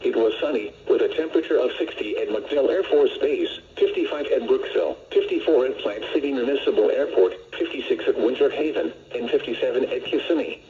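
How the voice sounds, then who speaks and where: An American man's synthetic-sounding voice reading a scripted weather report as a radio announcer, measured and impersonal. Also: mid-range voice, mellow. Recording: muffled lo-fi weather radio broadcast.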